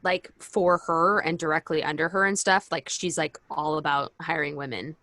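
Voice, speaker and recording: A woman's voice: sits low, steady tone, moderate pace, pushed and bright, no room sound. A woman co-hosting a podcast in casual chat, speaking informally and with enthusiasm. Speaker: podcast co-host.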